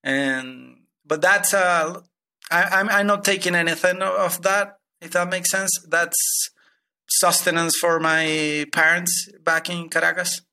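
A man's voice: high voice, steady tone, takes it slowly, sharp tone. A man in his thirties from Venezuela, a guest telling his money story with many hesitant restarts. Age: 30s. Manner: hesitant, many repeated starts. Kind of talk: personal finance interview.

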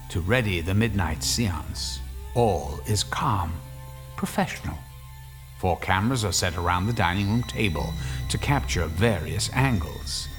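A man playing the wry, ominous narrator of a scripted radio horror drama. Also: lowish voice. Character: wry, ominous male narrator of a ghost story.

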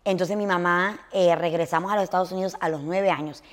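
A woman's voice: fairly low pitch, fairly even intonation, fast. A woman in her thirties from Honduras, hosting a casual chat, talking in a slangy way and slipping into English. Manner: chatty, slangy, mixes in English. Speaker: podcast host.

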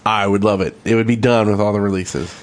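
Male co-host with a slightly low voice, conversational, for informal gaming discussion. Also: steady tone, a little fast. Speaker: podcast co-host. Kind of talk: casual gaming podcast chat.

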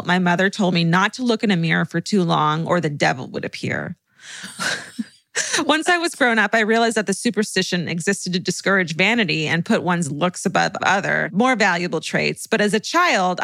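Woman, podcast co-host, lower voice, expressive, laughs a lot, dry sound. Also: creaky, dry recording. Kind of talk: casual podcast chat and reading listener letters.

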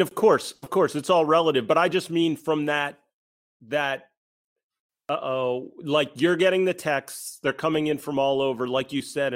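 A man hosting a podcast, asking questions in a casual, conversational style. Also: mid-range voice. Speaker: podcast host, interviewer.

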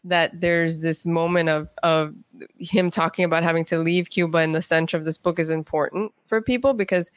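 A woman's voice: fairly low pitch, steady tone, brisk, dry recording. Woman guest storytelling, dry close sound. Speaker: interview guest, writer. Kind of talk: conversational storytelling interview.

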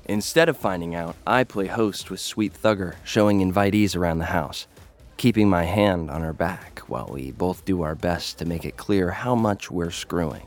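A man acting as a young American online celebrity fighter tells his own story in a low register. He is cocky and jaded, with a wry, conversational delivery. Music plays faintly underneath this audio drama narration. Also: normal speed, natural voice. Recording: music bed behind the voice.

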